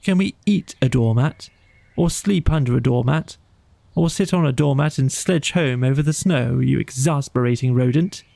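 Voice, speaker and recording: A man's voice: mid-range voice, normal speed, vocal fry, mellow, no echo, natural voice. A man voicing an earnest, wordy mole in a children's storybook, with emphatic, rambling speech. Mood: sarcastic, exasperated. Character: grumbling, naive, wordy male mole. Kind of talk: animal character in a children's storybook.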